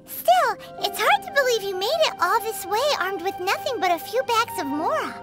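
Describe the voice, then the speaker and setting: Casting: tiny cute game mascot fairy, squeaky and easily offended, extremely high and animated, with music underneath. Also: female voice, moderate pace. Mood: surprised. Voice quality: squeaky, childlike. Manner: exclaiming, speaks of herself by name. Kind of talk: video game character acting.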